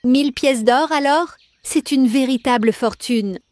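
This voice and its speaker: A woman playing a bossy, easily exasperated farm mother in a children's cartoon fairy tale, decisive and expressive. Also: high voice, a little slow, dry recording, studio quality. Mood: hopeful. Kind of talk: children's cartoon storybook acting.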